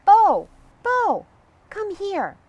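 A woman voicing an eager young forest explorer in a children's storybook, excitedly calling out. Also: very high voice, extremely slow, no room sound, highs cut off, pitched above the performer's own voice, full character voice.

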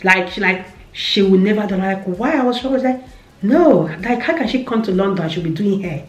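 A woman in her thirties with a Nigerian accent, chatting casually to camera about business, animated and repeating herself for emphasis. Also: medium pitch, brisk, dull audio. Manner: animated, repeats phrases, gestures a lot with hands. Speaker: vlogger. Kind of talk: casual business advice chat. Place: indoor room with shelves. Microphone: camera in front, seated. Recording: roomy echo.

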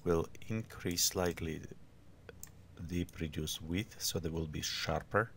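Male tutorial instructor, non-native English, creaky voice, unscripted and halting, recorded with background noise and keyboard clicks. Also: sits low, a bit bright. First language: not English. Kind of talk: informal step-by-step screen tutorial.

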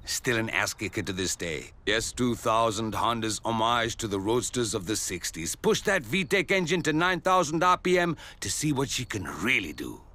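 A man's voice: medium pitch, sing-song, moderate pace, few pauses, pushed and bright. A man playing a street-wise mechanic who talks cars with salty enthusiasm in a video game cutscene. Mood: admiring. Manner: enthusiastic, salty car talk.

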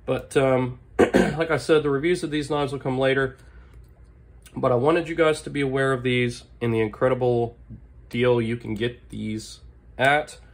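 A man with a hoarse, worn voice still on the mend, talking at a desk in an easy, keen show-and-tell way. He clears his throat often and leans on fillers while he enthuses. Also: mid-range voice, fairly even intonation, normal speed. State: hoarse, recovering lost voice. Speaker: knife channel host. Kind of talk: casual product show-and-tell. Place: indoors at a desk. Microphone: overhead camera on table, hands only.

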